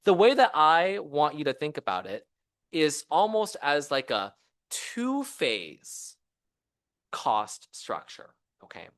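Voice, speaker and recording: A man lectures like a professor at a seminar, conversational and engaged. His pitch moves up and down a lot for emphasis, and the sound is close and dry. He explains by posing questions to his listeners. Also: slow, no echo. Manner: animated, conversational, explains with rhetorical questions and acted-out quotes. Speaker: university professor giving a seminar talk. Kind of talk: engineering lecture. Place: seminar room at a university.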